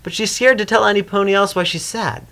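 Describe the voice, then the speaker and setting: A man voicing a patient, earnest female pony ruler, gently firm and persuasive, in a fan fiction reading. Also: high voice, fairly even intonation, brisk, voice pushed up. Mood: concerned.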